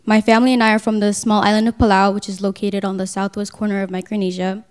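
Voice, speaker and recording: Teenage woman, crisp voice, level intonation, student introduction. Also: mid-range voice, flat delivery, fast. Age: teens, high school senior. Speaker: student speaker. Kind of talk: prepared self-introduction.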